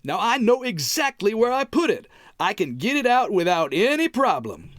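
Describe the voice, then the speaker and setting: An actor playing a bumbling, stubborn husband in an old-time radio comedy, with a folksy, hesitant drawl. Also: male voice, high voice, very animated, normal speed, fairly bright tone. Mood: flustered.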